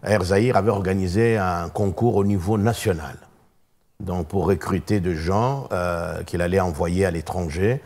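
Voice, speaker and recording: A pastor in his sixties, raised in Congo, recounts his past in a television studio. His voice sits very low. He speaks in measured steps, broken by frequent filler pauses. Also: a little mellow. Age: 60s. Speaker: pastor guest. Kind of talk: hesitant, thoughtful recounting.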